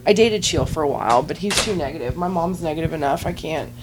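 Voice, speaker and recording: A podcast guest speaks in a fairly low-pitched woman's voice. She tells frank personal stories in a rambling, casual flow, peppered with "like" and unbothered by the subject. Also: fast, background noise. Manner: rambling, heavy use of "like"; blunt and unembarrassed. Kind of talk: candid casual storytelling.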